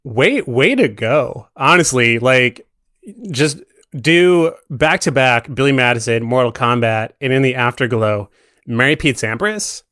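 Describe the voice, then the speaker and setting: Guy guesting on a podcast, mid voice, deadpan casual chat, really dry sound. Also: medium pitch, measured pace, dry recording.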